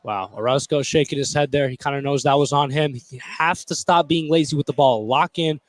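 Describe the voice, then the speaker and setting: A man's voice: a little high, fairly quick. A man working as a color commentator on live basketball, giving casual, opinionated and emphatic analysis. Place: indoor basketball gym.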